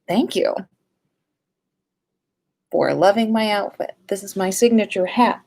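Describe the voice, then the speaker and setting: Female livestream host, unhurried, medium pitch, informal and playful chat. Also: vocal fry, darkish tone. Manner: playful, sing-song at times; informal, swears casually.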